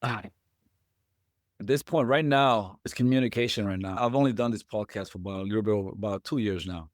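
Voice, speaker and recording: A middle-aged man from Puerto Rico, a podcast host, talking in a halting way and repeating words as he thinks. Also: on the low side, no echo, studio quality. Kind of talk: conversational interview.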